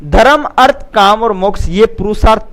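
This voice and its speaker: A man's voice: high-pitched, normal speed, a bit bright. A man teaching students in an exam-prep lecture, repeating key phrases and adding check-in tags in a didactic way.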